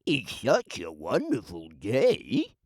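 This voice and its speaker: A man's voice: mid-range voice, wildly swooping pitch, slow pace, dry recording, studio quality, full character voice. A man voicing a chirpy, inquisitive animal friend in a storybook, with a lilting, questioning delivery.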